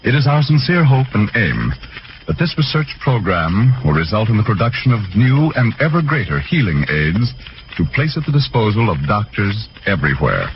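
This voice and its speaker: A muffled, hissy vintage radio recording of a male announcer. His voice is fairly low with wide, lively inflection. The delivery is formal and stately, in 1940s sponsor style. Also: moderate pace.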